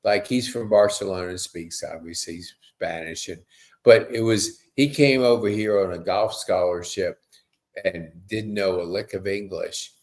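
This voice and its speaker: A man's voice: low voice, a little slow. A middle-aged man hosting a casual podcast interview, effusive and rambling, with stop-and-start questions. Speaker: podcast host.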